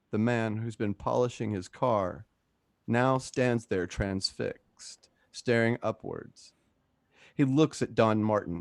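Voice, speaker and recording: A man's voice: lowish voice, gravelly, dry recording. A man reading scripted stage directions as the narrator of a radio play. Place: at home, close dry microphone.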